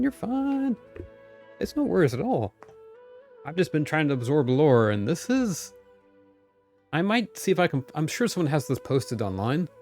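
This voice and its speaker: A man's voice: medium pitch, a little mellow. A man live streaming a video game, chatting casually with his viewers in an upbeat, friendly way.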